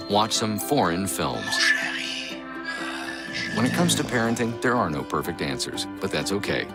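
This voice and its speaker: Deep-voiced male ad announcer. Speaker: ad narrator. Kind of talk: public service ad voice-over. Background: loud music.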